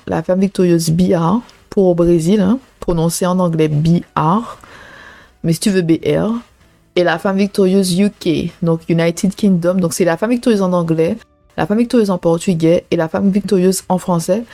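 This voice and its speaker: Low, mellow woman, casual faith podcast. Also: fairly even intonation, normal speed, soft background music. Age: 30s. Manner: casual and personal, many "euh" and "hein" fillers, preaching tone. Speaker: podcast host. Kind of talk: faith podcast talk addressed to listeners.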